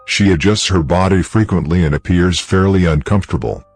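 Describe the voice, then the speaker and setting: A man's voice: low-pitched, fairly quick, darkish tone, soft background music. A man playing a formal, detached researcher reading out a clinical case log in a scripted horror story.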